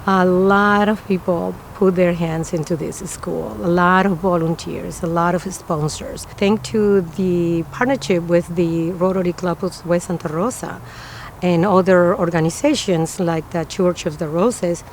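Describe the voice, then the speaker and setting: Earnest Peruvian-born woman, lowish voice. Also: fairly even intonation, unhurried, slight background noise. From Peru. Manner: earnest, with non-native phrasing and many fillers. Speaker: preschool director. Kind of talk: interview.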